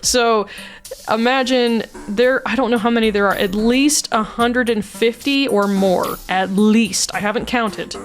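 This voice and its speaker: A woman's voice: a little high, steady tone, a little slow. A woman chatting casually and self-deprecatingly as she explains her own amateur voice acting. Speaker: video creator and amateur voice actor. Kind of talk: casual behind-the-scenes chat with vocal demonstrations. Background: background music.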